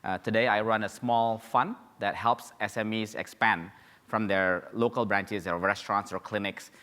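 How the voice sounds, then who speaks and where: A man's voice: on the low side, fairly even intonation, bright, forceful tone. A man from Indonesia giving a fluent self-introduction as a panelist at a forum, in a conference hall. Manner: fluent, brisk and organized. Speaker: panelist, company founder. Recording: long hall reverb.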